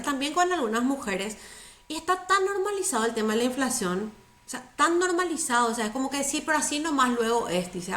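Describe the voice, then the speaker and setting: A woman's voice: high voice, a bit bright. Emphatic woman in an echoey room. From Paraguay. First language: Spanish. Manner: earnest, emphatic, repeats herself for stress. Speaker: guest. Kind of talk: conversational interview.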